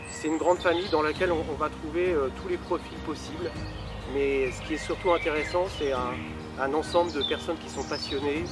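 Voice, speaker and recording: Older man, higher-pitched voice over music. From Paris, France. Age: 60s. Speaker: volunteer event organiser. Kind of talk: informal interview testimonial.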